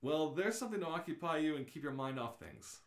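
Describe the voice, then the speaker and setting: A man's voice: a little high, animated, brisk, pitched above the performer's own voice. Patient, practical woman aboard a spaceship, read by a man, placating tone, radio play in an echoey room. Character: calm, reassuring female space traveller, patient and practical.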